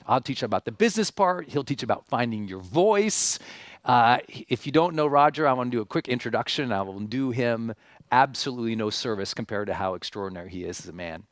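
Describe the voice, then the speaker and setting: A man's voice: medium pitch, expressive, a little fast, no echo, studio quality. A man hosting a seminar as a motivational speaker, telling stories emphatically, with repeated phrases and rhetorical questions. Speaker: seminar host and speaker introducing a guest. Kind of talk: motivational stage talk, storytelling and guest introduction.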